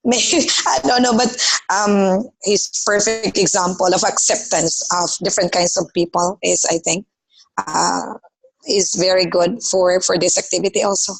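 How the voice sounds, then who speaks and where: A woman's voice: medium pitch, fairly even intonation, moderate pace, vocal fry, slightly rough, rough recording. Earnest woman from the Philippines. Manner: thoughtful, earnest, frequent fillers. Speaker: student in group discussion. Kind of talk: casual discussion.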